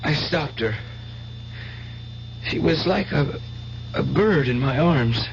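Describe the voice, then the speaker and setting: A self-righteous stage mentalist in an old radio drama. His voice is high and declamatory, full of fanatical piety. The recording is dull and very noisy, with hum. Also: lively intonation, slow. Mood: anguished confession. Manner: theatrical, declamatory showman. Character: fanatical stage mind reader, tormented, pious, self-righteous killer.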